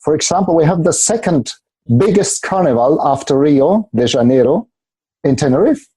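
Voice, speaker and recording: A man's voice: on the high side, expressive, normal speed, mellow, husky, poor audio. A man speaking English as a guest, hesitant, often repeating words as he searches for them. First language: German. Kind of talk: casual interview talk.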